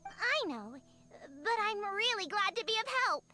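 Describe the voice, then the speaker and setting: A woman voicing a young, gentle female fairy-like creature in a video game, innocent, polite and earnest, with a soft childlike voice. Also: very high-pitched, very animated, measured pace, exaggerated voice. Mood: grateful, earnest. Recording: music behind the voice.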